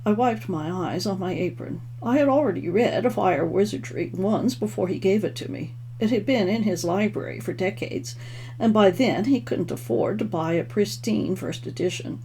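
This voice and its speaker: A woman's voice: mid-range voice, moderate pace, mellow. A woman author reading her own first-person novel expressively, as a storyteller. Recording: clearly noisy home recording.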